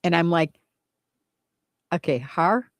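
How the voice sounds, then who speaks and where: A woman's voice: fairly low pitch, takes it slowly, vocal fry, no room sound, studio quality. A middle-aged woman co-hosting a radio show, telling funny stories, laughing and breaking into bits of song. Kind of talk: casual chat, storytelling.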